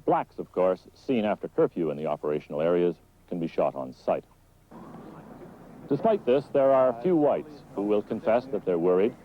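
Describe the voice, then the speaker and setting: A man's voice: sits low, sing-song, normal speed, dull audio. A man reading a scripted news report as a reporter, on 1970s newsreel film sound.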